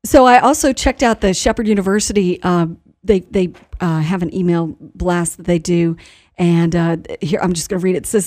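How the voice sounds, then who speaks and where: A woman hosting a live radio talk show in an informal, halting manner, sometimes reading notices aloud. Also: on the high side, a little mellow.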